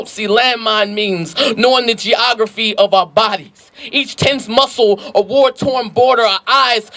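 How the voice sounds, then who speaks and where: A man's voice: very high-pitched, a bit bright, no echo. An American man delivering impassioned spoken-word poetry in an emphatic, rhythmic, declamatory way at an outdoor rally.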